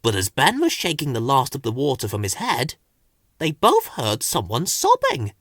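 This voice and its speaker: A man narrating a children's story as an expressive audiobook storyteller. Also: medium pitch, normal speed, no room sound, clean studio sound.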